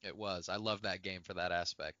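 Bright-voiced man, lo-fi audio. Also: sits low, steady tone, fairly quick. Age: 30s. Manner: easygoing, laughs often. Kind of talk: casual chat. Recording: dull lo-fi audio with faint noise.

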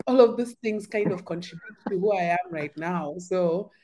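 A woman's voice: lowish voice, normal speed, a crowd in the background. Chatty woman with a sore throat. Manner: chatty, many "like" fillers, laughs often. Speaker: podcast guest. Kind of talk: casual conversation.

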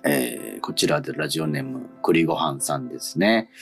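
A man hosting a radio show in casual, polite and expressive talk, reading out listener letters. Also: very deep voice, fairly quick, creaky, soft background music.

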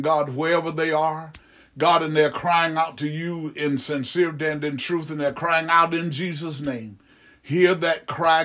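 A man preaching in fervent, improvised Pentecostal prayer, pleading repetitively and calling out to God mid-phrase. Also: a little high.

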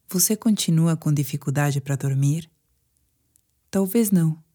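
Slow, low-voiced Brazilian woman guiding meditation. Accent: Brazilian Portuguese. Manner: slow, soothing, hushed delivery with pauses. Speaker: meditation guide. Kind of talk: guided sleep meditation narration.

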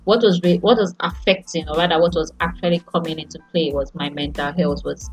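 A young Nigerian woman with a Nigerian accent, a podcast host talking casually and haltingly, full of fillers and restarts. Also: sits low, fairly even intonation, husky, faint music behind. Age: young adult. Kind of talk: casual chat.

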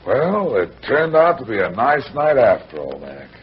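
An actor playing an unruffled, tough American private detective in an old-time radio crime drama. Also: male voice, mid-range voice, wildly swooping pitch, normal speed, noisy background. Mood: quietly determined. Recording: muffled vintage radio recording, music underneath.

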